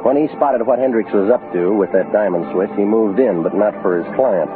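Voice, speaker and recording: A brash, world-weary private detective, played by a man in a 1940s radio drama, with a fairly low voice. He talks in clipped, sardonic slang. The recording is a muffled old broadcast with music behind it. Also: a little fast, natural voice. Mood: wry narrating.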